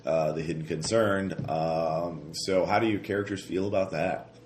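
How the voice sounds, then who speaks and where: A deep-voiced man with a stuffy head cold runs a tabletop game, rambling through fillers and restarts. His voice sounds slightly hoarse. The recording is roomy, with a faint hiss underneath. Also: steady tone, normal speed, a little mellow. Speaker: game master. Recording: roomy echo, faint noise.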